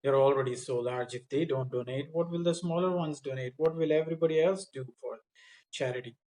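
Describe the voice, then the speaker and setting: A man's voice: on the high side, fairly quick, warm tone. A man working as a spoken English tutor, coaching in a mock speaking test with a blunt, insistent, corrective manner.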